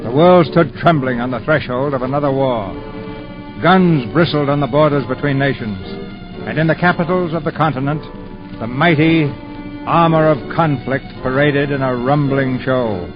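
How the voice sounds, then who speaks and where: A male announcer opens and narrates a 1940s radio drama in a formal, dramatic manner. His voice sits at a middle pitch. Music plays clearly under him, and the sound is muffled and old. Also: normal speed.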